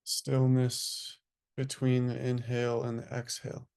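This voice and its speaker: A man leading a guided meditation as a teacher, speaking in hushed, sparse phrases with long pauses. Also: mid-range voice, monotone, creaky, clean tone.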